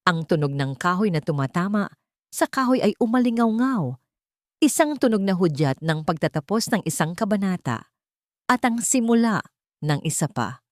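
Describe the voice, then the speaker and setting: A woman narrates a dramatic story with a Filipino accent. Her voice sits a little low, and the recording is dry and close, with little room sound. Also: sing-song, moderate pace, dry recording.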